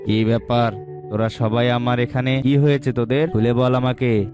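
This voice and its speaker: A man's voice: medium pitch, fairly even intonation, moderate pace, over music, lightly acted. A man voicing a grave, commanding old owl mystic who gives solemn counsel in a children's cartoon. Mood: stern, questioning.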